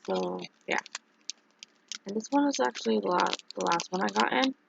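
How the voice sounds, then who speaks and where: Streamer, casual chat; heavy vocal fry, breathy edge, dull lo-fi recording, rambling delivery. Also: mid-range voice, expressive, noisy background. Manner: rambling, halting, many fillers and restarts.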